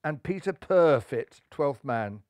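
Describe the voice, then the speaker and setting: A man's voice: fairly high pitch, lively intonation, slow pace, a little mellow, clean tone, no echo. A man narrating a children's animal story aloud in a playful, theatrical way.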